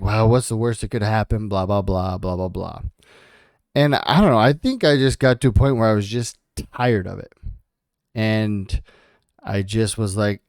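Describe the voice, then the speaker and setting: A man's voice: medium pitch, a little mellow, clear, resonant tone, dry recording, studio quality. A man co-hosting a podcast in a laid-back, casual way, swearing freely. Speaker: podcast co-host.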